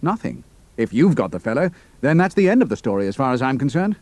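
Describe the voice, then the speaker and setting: A male actor plays a brisk, plucky young flying officer in an audio drama. He has a British accent and a mid-range voice with lively, mobile intonation. The recording is very dry. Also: medium pitch, dry recording, natural voice. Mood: clipped, cool.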